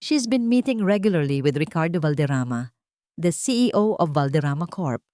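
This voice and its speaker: A woman's voice: sits low, animated, normal speed, warm tone, dry recording, studio quality. A woman voicing a businesslike private investigator reporting to a boss, deferential and matter-of-fact. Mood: urgent.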